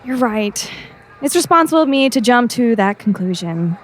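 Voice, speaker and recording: An earnest teenage girl reporter acted by a woman in an audio drama. She has a high voice and pleads her case with hesitant persistence. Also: very animated, normal speed. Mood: earnest, concerned. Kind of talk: scripted audio drama acting.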